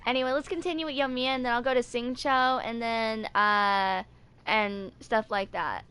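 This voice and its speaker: A woman's voice: fairly high pitch, steady tone, normal speed, bright, forceful tone, clean tone. A woman in her twenties reading and chatting casually as a video creator in a home recording. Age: 20s. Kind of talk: casual reading aloud and chat for a fan video. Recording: home recording with slight background noise.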